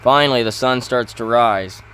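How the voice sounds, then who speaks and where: A man giving casual commentary over farming gameplay, halting and often correcting himself as he thinks aloud. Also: medium pitch, fairly bright tone, slight background noise. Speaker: gaming video host.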